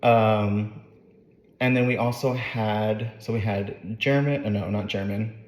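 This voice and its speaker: A man's voice: lowish voice, steady tone, slow pace, a little mellow, reverberant. Rambling man with a slight Southern twang. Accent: light Southern US with dropped R's. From Northern California. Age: late 20s. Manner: rambling, with many fillers and self-corrections. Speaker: channel host. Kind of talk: casual talking-to-camera vlog.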